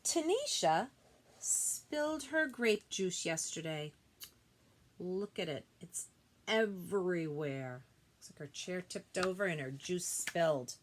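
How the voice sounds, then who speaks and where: Expressive woman reading aloud to children. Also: on the low side, slow, slight background noise. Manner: expressive reading, gentle simple explanations for young listeners. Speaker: teacher reading a picture book aloud. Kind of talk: children's read-aloud with explanatory asides.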